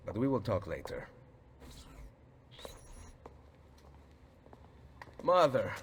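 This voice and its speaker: An actor playing a composed, confident Italian nobleman assassin with an Italian accent in a video game, speaking in a measured, formal way. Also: on the low side, close to an everyday voice. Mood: courteous, guarded. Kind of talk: dramatic video game acting. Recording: music behind the voice.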